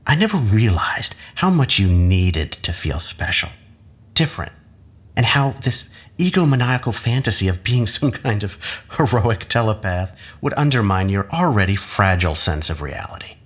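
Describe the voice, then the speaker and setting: A low-voiced, husky, condescending husband. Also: male voice, very animated. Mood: condescending, insistent. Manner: measured, coaxing, patronizing. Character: manipulative husband, an academic doctor, calmly condescending and persuasive. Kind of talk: audio drama acting.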